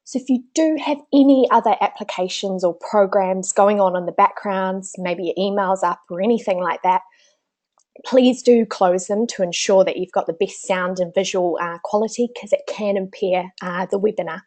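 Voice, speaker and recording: A woman's voice: medium pitch, moderate pace, darkish tone. A woman with a New Zealand accent presenting a business webinar in a chatty way, with many small fillers.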